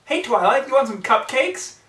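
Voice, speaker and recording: Man doing a high, bouncy girl pony. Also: big pitch swings, normal speed, bright, forceful tone, roomy sound, far from the mic, exaggerated voice. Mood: merry, playful. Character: bouncy, grinning female pony, random and merry.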